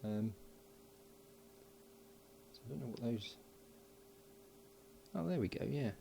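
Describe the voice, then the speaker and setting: A man's voice: mid-range voice, expressive, slow, vocal fry, warm tone, a little hiss or hum. A man giving casual, unscripted hands-on commentary as he builds an electronics project, murmuring along while he works. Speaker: hobbyist electronics builder and presenter.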